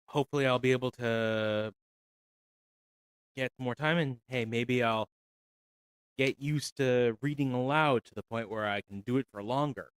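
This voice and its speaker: Man, storybook reader, slow steady delivery, voice a little rough from reading, smooth resonant tone, dry close mic. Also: medium pitch, dry recording. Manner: unhurried, measured reading with some stumbles. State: voice feeling rough after an hour. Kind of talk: cold reading folk tales aloud on a stream.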